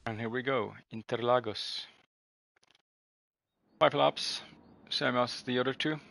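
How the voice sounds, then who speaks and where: A man's voice: medium pitch, takes it slowly, vocal fry, a bit bright. A man giving casual commentary while playing a racing game, reading the game's dialogue aloud and reacting as he drives. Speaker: gaming video host. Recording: noisy game audio underneath. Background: racing game engine sounds.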